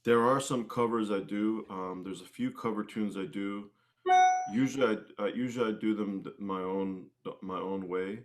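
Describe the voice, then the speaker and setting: A man answering questions casually as an interview guest, often halting and rephrasing his thoughts midway. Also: fairly low pitch, fairly even intonation, soft background music. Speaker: interview guest, singer-musician.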